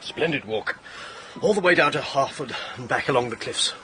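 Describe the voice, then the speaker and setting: A man playing a hearty, energetic clergyman in a radio drama. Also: mid-range voice, moderate pace, close to an everyday voice. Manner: hearty, vigorous. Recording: noisy outdoor drama soundscape.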